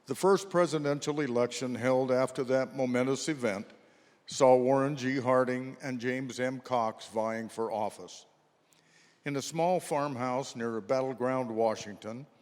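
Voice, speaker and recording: A man narrating history from a script for a staged reading, with formal, deliberate phrasing, in a reverberant hall. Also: mid-range voice. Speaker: narrator of a readers theater. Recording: echoey hall with long reverb.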